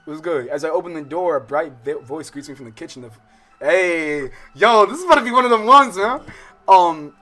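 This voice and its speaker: A man's voice: fairly high pitch, lively intonation, brisk, bright, forceful tone. An American man livestreaming a visual novel, reading the text aloud and breaking off into profane, slangy, casual commentary. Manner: slangy, profane, digressive, interrupting himself while reading. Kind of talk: casual let's-play commentary with read-aloud game text. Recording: music playing under the voice. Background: game soundtrack music.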